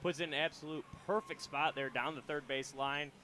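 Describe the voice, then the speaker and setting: A young man giving relaxed, chatty radio color commentary on a baseball game from a ballpark booth. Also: on the high side, normal speed. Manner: casual, chatty, many fillers and restarts. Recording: crowd noise from the ballpark.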